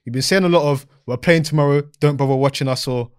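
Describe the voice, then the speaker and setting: A man co-hosting a casual football chat, animated and slangy, laughing often. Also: on the high side, fast, clean tone. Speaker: podcast co-host.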